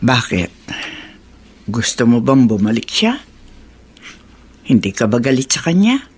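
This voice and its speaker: An actress with a Filipino accent playing a stern, skeptical elderly grandmother in a radio drama, husky and probing. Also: rumbling low, sing-song, measured pace, full character voice. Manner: probing, judgmental.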